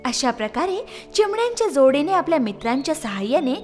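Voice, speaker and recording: A woman narrates a children's story in a high voice. Her pitch moves in an animated way, and soft music plays behind her. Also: a little fast.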